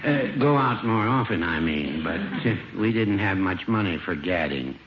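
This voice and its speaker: An actor playing a mild, older shopkeeper with dry, hesitant understatement in a 1950s radio comedy. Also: male voice, sits low, animated, moderate pace, crowd noise. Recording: muffled, noisy old radio recording.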